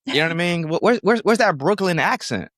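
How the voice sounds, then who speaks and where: A man's voice: high-pitched, animated, fast, fairly bright tone, dry recording, studio quality. A man hosting a podcast, interviewing in a casual, informal way and reacting with enthusiasm. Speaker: podcast host.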